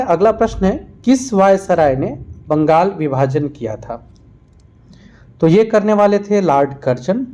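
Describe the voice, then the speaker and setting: A man with a somewhat high-pitched voice and a very mellow, rounded tone. He presents an exam-prep lesson in question-and-answer form. His delivery is explanatory, restating key answers so they stick. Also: highs cut off. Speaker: exam-prep history teacher. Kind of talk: quiz-style lesson narration.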